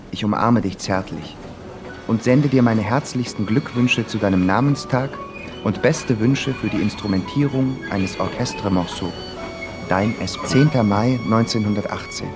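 An actor playing a travelling composer, a man reading out his reflective diary in a radio play. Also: on the low side. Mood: affectionate, then wry, restless. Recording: prominent music behind the voice.

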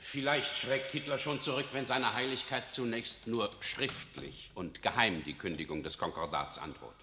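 An actor playing an earnest German visitor in a 1960s German radio drama, speaking in a grave, measured and urgent way. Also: male voice, mid-range voice, sharp tone, slight background noise, lightly acted. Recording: reverberant radio studio.

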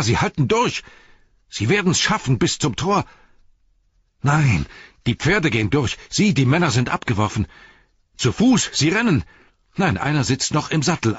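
A man's voice: sits low, wildly swooping pitch, a little fast, creaky, breathy edge, no room sound, highs cut off, full character voice. A man voicing an anguished, loyal guardsman in a storybook reading, crying out urgently and dramatically. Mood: anguished, desperate. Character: anguished loyal male guardsman, desperate for his captain.

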